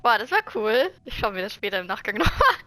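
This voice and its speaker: A woman's voice: medium pitch, very animated, quick pace, bright, forceful tone, faint music behind. A woman giving casual, playful reaction commentary as she streams a game. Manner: informal, playful.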